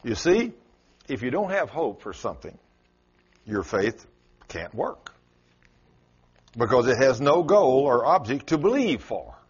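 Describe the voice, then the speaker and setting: A man's voice: sing-song, measured pace, pauses often, breathy edge, lo-fi sound. A man with a South Texas accent preaching a sermon in a folksy, emphatic way. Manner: folksy, emphatic, swings pitch up for stress.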